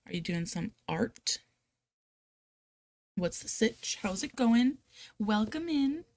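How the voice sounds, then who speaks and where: A woman's voice: fairly high pitch, expressive, slow pace, creaky, a little mellow, no room sound. A woman with a Rhode Island accent hosting a casual live chat, talking in a chatty, self-deprecating, giggly and playfully dramatic way. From United States, Rhode Island. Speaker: live stream host of reading sprints.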